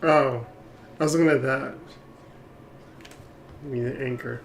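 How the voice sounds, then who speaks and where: A woman's voice: rumbling low, fairly even intonation, unhurried, slight background noise. A woman game streamer giving casual commentary while playing through a story.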